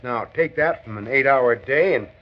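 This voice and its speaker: A man's voice: medium pitch, normal speed, close to an everyday voice. An actor playing an eager, plucky working man in 1940s radio drama, hearty and emphatic with an American accent. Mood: earnest, eager. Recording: dull, noisy 1940s radio recording.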